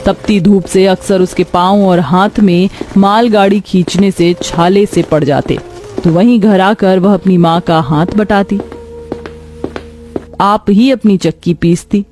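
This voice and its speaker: A woman's voice: on the low side, dark tone. A woman narrating a scripted cartoon story.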